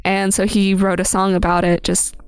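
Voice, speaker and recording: Gravelly, smooth-voiced woman co-hosting. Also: mid-range voice, moderate pace, no room sound. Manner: relaxed, rambling, lots of fillers like "like" and "um". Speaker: podcast co-host. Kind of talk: casual podcast chat.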